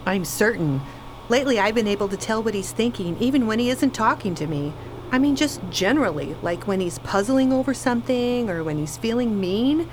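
A woman's voice: a little high, lively intonation, a little fast, slight background noise, lightly acted. A woman playing a tired, earnest, exasperated wife in a radio play, passing on what her child says. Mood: weary.

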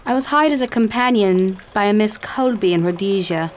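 A woman's voice: medium pitch, moderate pace, slight background noise, natural voice. A woman playing a timid, distressed young lady in radio theatre, speaking earnestly and politely. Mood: anxious, distressed.

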